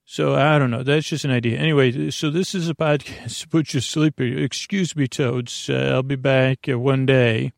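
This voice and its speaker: Male sleep-podcast host, gravelly and dry-miked, drifting through halting, digressive bedtime rambles. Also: medium pitch, steady tone, dry recording. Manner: meandering, halting, digressive, self-interrupting. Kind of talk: rambling bedtime monologue to lull listeners to sleep.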